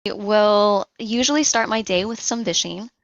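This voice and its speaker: A woman's voice: mid-range voice, moderate pace, dry recording, muffled recording. A woman being interviewed, explaining her work step by step in a conversational way with examples. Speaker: interview guest, social engineering analyst.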